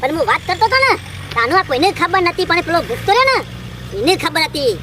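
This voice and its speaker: A man playing an aggrieved, scheming would-be gangster in a cartoon comedy, complaining and scolding in a rural North Gujarati accent, his voice pitched up and sped up. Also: very animated, fast, sharp tone, a little hiss or hum, full character voice. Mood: indignant, scolding.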